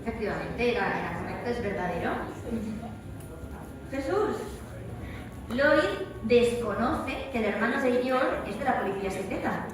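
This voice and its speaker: A woman's voice: on the high side, lively intonation, moderate pace, pauses often. A woman with a Spain accent hosting a live quiz-style interview, putting questions to guests. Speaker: panel host reading quiz questions. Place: large event hall at a convention. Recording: echoey hall, distant mic, crowd noise.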